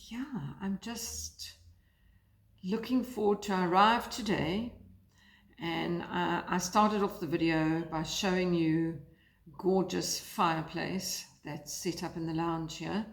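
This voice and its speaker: A lowish, gravelly woman's voice, croaky and a bit hoarse, rambling through her knitting projects with plenty of ums and restarts. She sounds relaxed and unscripted, recorded in a roomy lounge with a clear echo. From South Africa. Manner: rambling, hesitant, many fillers. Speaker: knitting vlogger. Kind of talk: casual chat to camera. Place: lounge by a fireplace, indoors. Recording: echoey room.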